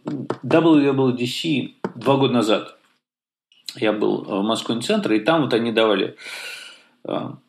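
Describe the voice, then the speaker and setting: A man's voice: medium pitch, measured pace, creaky. A man co-hosting a casual tech podcast, talking with many fillers and restarts. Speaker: podcast co-host.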